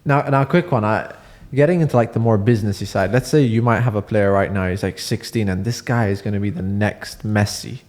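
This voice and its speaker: A man hosting a casual podcast, asking questions in a relaxed, chatty way. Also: lowish voice, brisk, few pauses. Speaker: podcast host.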